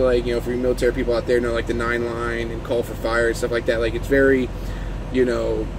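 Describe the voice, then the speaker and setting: A man with a mid-pitched voice talks quickly in a casual, rambling trucker vlog. He explains things informally, with clear vehicle noise humming behind him. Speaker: truck driver vlogger. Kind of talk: casual unscripted vlog talk. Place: truck parked at a truck stop.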